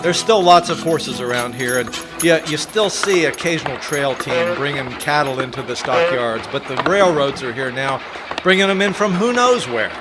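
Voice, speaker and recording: A man's voice: fairly high pitch, expressive, normal speed, music in the background, natural voice. A man playing a folksy narrator of early-1900s Kansas City in an old-time radio Western. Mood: wry, reminiscing. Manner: folksy, drawling cowboy-era phrasing.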